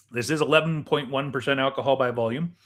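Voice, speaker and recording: Man, co-host, medium-pitched voice, casual conversation, snack tasting commentary. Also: a bit bright. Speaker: co-host of a chat show.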